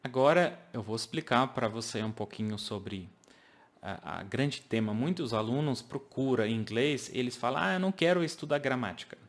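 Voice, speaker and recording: A man's voice: medium pitch, expressive, normal speed. Man, 30s, English-speaker accent, earnest teacher, home office webcam talk. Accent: English-speaking foreigner speaking Portuguese. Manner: earnest, explaining with hand gestures, makes grammar slips. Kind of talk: casual video lesson to camera.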